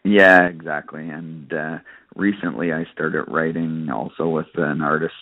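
A man, a country singer giving casual, halting interview answers full of hesitations. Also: a bass voice, fairly even intonation, no room sound. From Canada. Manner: halting, frequent hesitations and you-knows. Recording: narrow phone-like line.

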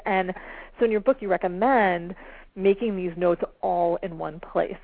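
A woman's voice: lowish voice, measured pace, no room sound. A woman hosting a talk show, interviewing a guest with friendly, personal questions. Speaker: show host. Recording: tinny dry phone line.

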